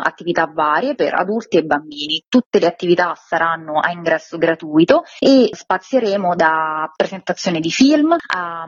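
A woman's voice: on the low side, husky, dry recording. A woman being interviewed on radio as a guest, explaining an event programme in an informational way. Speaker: guest presenting a community festival. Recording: dry, slightly dull lo-fi line.

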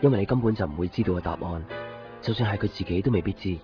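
Guy playing earnest young hong kong boyfriend in a radio drama, low voice, hesitant, muffled audio w music under. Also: rapid-fire, lightly acted. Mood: pensive. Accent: Hong Kong Cantonese. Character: earnest, curious young boyfriend, unsure about his secretive girlfriend. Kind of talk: Cantonese radio drama acting with reflective narration.

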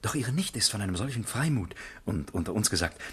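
An actor playing a cynical, world-weary gentleman in a literary radio play, confiding and mocking in a theatrical way. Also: lowish voice, animated, brisk, husky. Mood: eager, confiding.

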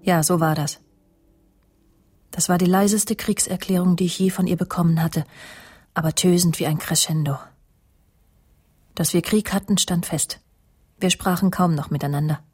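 A woman's voice: lowish voice, fairly even intonation, normal speed, long pauses, a little mellow, husky, no echo, studio quality. An actress playing a possessive, jealous woman in a radio crime drama, pointed and confiding. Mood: bitter, confiding. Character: possessive, jealous, needling woman recounting a feud. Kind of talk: German radio crime drama, dialogue and confessional narration.

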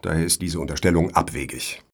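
An actor playing a blunt, pompous male foundation juror in a satirical radio play, with a husky voice and pointed questions. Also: very deep voice, quick pace. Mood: indignant, stern.

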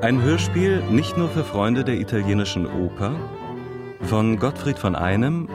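A man's voice: medium pitch, lively intonation, a little slow. A man reading out the scripted title announcement of a radio play. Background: loud string music.

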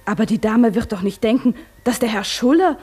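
An actress in a German radio play, playing a polite, defensive cloakroom attendant with a deferential, hesitant manner. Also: female voice, fairly high pitch, brisk, dark tone, husky.

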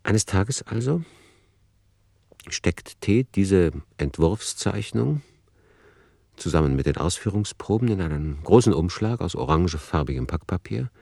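A man playing a reflective author who narrates a literary radio play in a deliberate, ponderous manner. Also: low-pitched, animated, vocal fry, a little mellow, dry recording, studio quality, full character voice. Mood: measured, ironic. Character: reflective male author musing over his novel.

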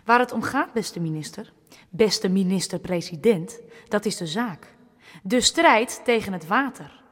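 A woman's voice: medium pitch, animated, unhurried, a bit bright, husky. A woman playing a proud, defiant campaigner in a Dutch radio drama, speaking with pointed, sardonic rhetoric in a reverberant hall. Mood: firm, indignant. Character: proud, defiant woman fighting for her flooded region. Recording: long reverb of a large hall.

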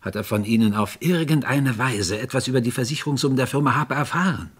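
An actor playing a patient, probing police inspector in a German radio crime drama, with a courteous, persuasive way of questioning. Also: male voice, medium pitch, quick pace, breathy edge, lightly acted. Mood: patient, pressing.

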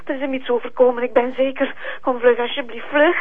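An actress playing an agitated, pleading caller over the telephone in a TV drama. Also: female voice, sits high, fairly even intonation, brisk. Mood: panicked. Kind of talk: TV drama acting. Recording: tinny phone line.

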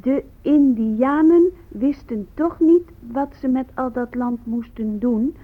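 A pupil reciting haltingly in a radio drama. The voice is high and very slow, on a narrow, muffled recording. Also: female voice. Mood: naive, halting.

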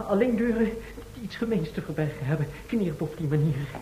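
A man's voice: a little high, expressive, a little fast, dark tone, lightly acted. A man playing a timid, jumpy traveller from the future in a radio play, stammering and hesitant with anxiety. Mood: anxious. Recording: roomy, faintly noisy old radio recording.

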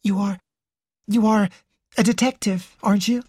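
A woman's voice: mid-range voice, steady tone, moderate pace, a little mellow, husky, no echo, studio quality, voice pushed up, lightly acted. A woman narrator voicing an intense, fearful and proud young woman in an audiobook. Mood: anxious, intent. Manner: intense, indignant, secretive. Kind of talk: audiobook character dialogue.

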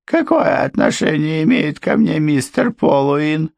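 A man voicing a frightened middle-aged housekeeper in a high, strained, tearful voice. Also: animated, measured pace, gravelly, darkish tone, no room sound. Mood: alarmed. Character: anxious middle-aged female housekeeper, shrill, pleading. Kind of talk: audiobook character voice.

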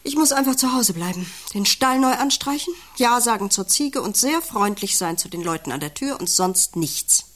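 A long-suffering country wife in a radio play. Her voice sits on the high side and leaps about in pitch. She is blunt and stubborn, muted one moment and exasperated the next. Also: expressive, normal speed, hardly any pauses, a bit bright. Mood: weary, withdrawn. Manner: blunt, shifting from muted questions to exasperated outbursts. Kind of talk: German radio play acting.

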